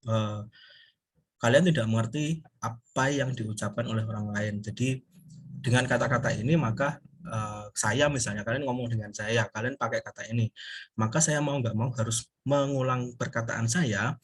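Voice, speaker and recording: A man teaches a recorded university class. His pitch sits in a middle range, and he explains informally, hesitating often as he looks for the next word. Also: slightly rough. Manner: halting, searching for words, with frequent hesitations. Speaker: university English lecturer.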